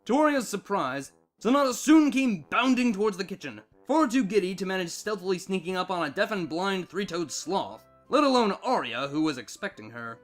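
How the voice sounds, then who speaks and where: A man narrating a fan fiction story in an expressive, scripted reading style. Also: high voice, moderate pace, few pauses, faint music behind.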